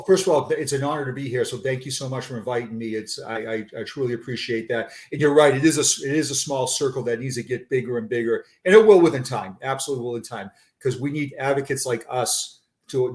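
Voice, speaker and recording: An older man with a Boston accent, a retired police sergeant talking as a guest in an unscripted interview, earnest and often repeating and restarting his words. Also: mid-range voice. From Boston area, USA.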